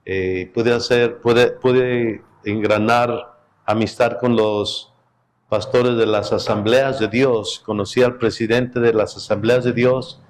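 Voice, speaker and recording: A middle-aged man, a guest pastor whose first language is Spanish, speaking in a relaxed, chatty way as he opens a sermon in a church. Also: mid-range voice, slow pace. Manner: relaxed, chatty and joking with the congregation. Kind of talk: sermon opening. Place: church sanctuary.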